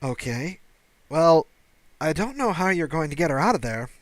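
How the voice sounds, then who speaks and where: A man voicing a flustered, indignant young male alien security officer who protests haltingly in an audiobook. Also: fairly high pitch, big pitch swings, dry recording, voice pushed up. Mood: exasperated, worried. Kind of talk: audiobook character dialogue.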